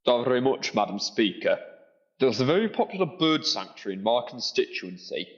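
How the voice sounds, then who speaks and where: A man's voice: medium pitch, sing-song, brisk, lo-fi sound, close to an everyday voice. Man playing a polite, earnest backbench politician. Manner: courteous, formal. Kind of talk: audio drama acting.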